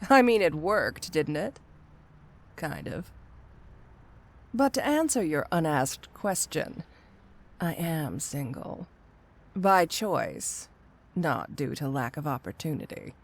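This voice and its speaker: A woman playing a flirty, sly supervillain cat burglar in an intimate ASMR roleplay, with a coy, drawling, playfully condescending delivery. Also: on the low side. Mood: teasing, coy.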